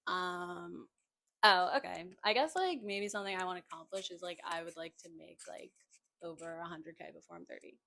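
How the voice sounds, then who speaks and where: Blunt young woman with a bright voice. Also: fairly low pitch, fairly quick, creaky, slight background noise. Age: 20s. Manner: blunt, swears casually. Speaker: podcast co-host. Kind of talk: casual chat.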